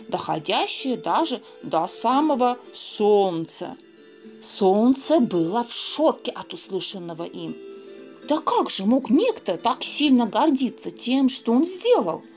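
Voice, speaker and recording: A woman reading a children's fairy tale aloud, expressive and speaking fondly to young listeners. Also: a little high. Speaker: storyteller.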